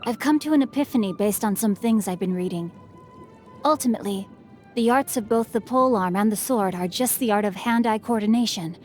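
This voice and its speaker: Game role: mischievous young noble lad played by a woman, lightly high voice, polite formal diction, bookish and teasing, over soft music. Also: brisk, lightly acted. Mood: thoughtful, polite. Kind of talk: scripted game character voicelines. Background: background music.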